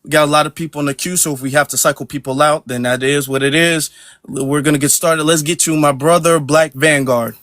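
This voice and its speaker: Brisk man hosting, dry close sound. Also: fairly high pitch, fairly even intonation, a bit bright, no room sound. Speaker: room host. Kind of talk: casual moderating.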